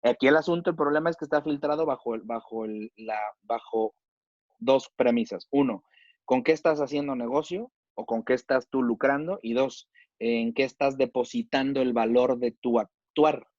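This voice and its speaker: A man's voice: mid-range voice, normal speed, a little mellow, no room sound, dull audio. Slangy Mexican man arguing his point. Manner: argumentative, slangy, uses rhetorical questions. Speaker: chef and debate host. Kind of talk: casual debate.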